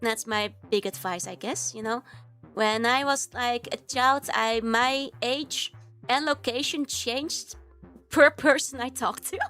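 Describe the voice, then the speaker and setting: A woman's voice: a little high, slow pace, sharp tone, faint music behind. A young woman with a Dutch accent chatting casually on a livestream, giggly and rambling with lots of fillers. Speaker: VTuber streamer.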